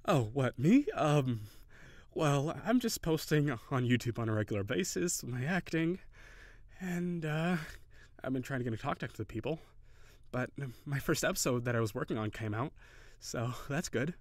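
A man playing a cheery, shy, flirty kitsune barista in an intimate audio roleplay, speaking hesitantly and giggly, with gentle encouragement. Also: medium pitch, animated, moderate pace, no room sound, studio quality, close to an everyday voice. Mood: earnest, encouraging.

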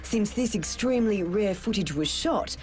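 A woman reporter reading a scripted current-affairs voice-over in a measured, dramatic storytelling way. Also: medium pitch, expressive, darkish tone, soft background music. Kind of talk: scripted news narration. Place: studio voice-over.

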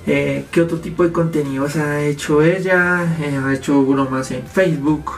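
A man, talking to camera from a home office and explaining his topic, gesturing as he speaks. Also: medium pitch. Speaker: YouTube host. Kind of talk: casual commentary to camera. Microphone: fixed camera, medium framing.

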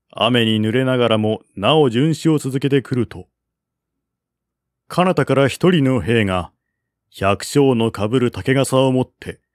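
A man reading a historical novel as scripted narration, in a formal, measured literary manner. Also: medium pitch, no echo, studio quality. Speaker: audiobook narrator.

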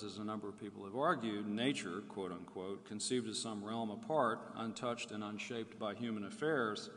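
A man giving a formal academic keynote lecture, reading from prepared text in a measured way and setting off quotations with emphasis. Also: lowish voice, a bit bright. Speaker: keynote speaker. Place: large indoor room at a conference. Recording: reverberant lecture hall.

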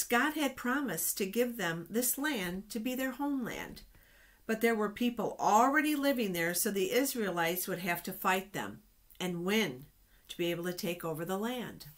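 Slow, expressive woman storyteller. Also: mid-range voice. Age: middle-aged. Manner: expressive, explanatory. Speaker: children's storytime reader. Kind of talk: reading Bible stories aloud to children. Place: small office, indoors. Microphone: webcam at desk distance.

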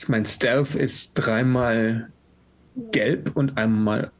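A man's voice: medium pitch, steady tone, very slow. A man, chatting casually and flippantly as he describes his character's actions in a role-playing game. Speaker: tabletop role-playing player. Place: indoors at home, on a video call.

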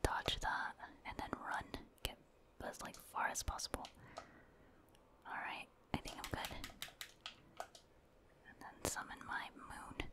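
A woman speaking in a soft, breathy whisper, giving casual ASMR commentary in short remarks as she plays a video game. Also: slow, long pauses.

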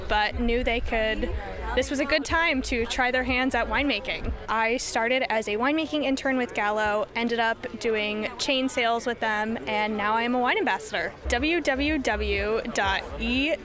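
A woman's voice: fairly high pitch, moderate pace, a crowd in the background. A young woman in her twenties, a wine ambassador, explaining steadily in an outdoor interview. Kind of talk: on-camera interview. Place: outdoors on a lawn.

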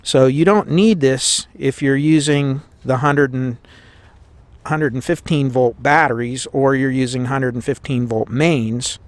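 A man's voice: medium pitch, fairly even intonation, measured pace, no room sound. An American man explaining a repair step by step in a plain-spoken, informal way as he works at a bench. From United States. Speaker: host of a radio repair tutorial. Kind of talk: hands-on tutorial, explaining as he works. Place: indoors at a workbench.

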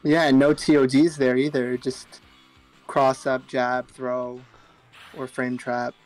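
A man co-hosting a live fighting-game stream, calmly explaining techniques and running the tournament. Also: medium pitch, slow, soft background music, rough recording. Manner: even-toned, technical analysis. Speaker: stream co-host and tournament organizer.

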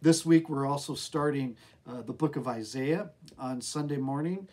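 A man's voice: medium pitch, moderate pace, a little mellow. A middle-aged man with an American accent, speaking as a pastor in a devotional talk to camera. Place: small office with bookshelves, indoors.

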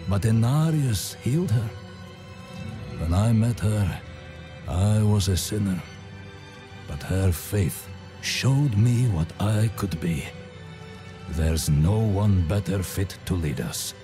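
Casting: grave reformed sinner, low, solemn, reverent, fantasy game over clear music. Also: male voice, expressive, slow, long pauses. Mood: solemn devotion. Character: grave, devoted male believer, a reformed sinner. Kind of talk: dramatic video game dialogue.

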